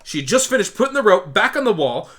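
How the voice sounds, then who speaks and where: A man's voice: high voice, sing-song, quick pace, a bit bright, pitched above the performer's own voice, full character voice. A man voicing a stubborn, protective country mare pony with a folksy Southern US drawl, in an amateur audio drama. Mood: upset, insistent. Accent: Southern US country drawl, put on. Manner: folksy, blunt, pleading.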